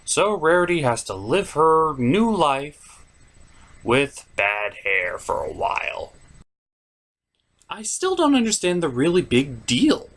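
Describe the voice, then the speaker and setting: Animated, sarcastic man reviewing cartoons. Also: on the high side, slow. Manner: sarcastic, theatrical. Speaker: host of a cartoon review show. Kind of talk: scripted comedic review narration.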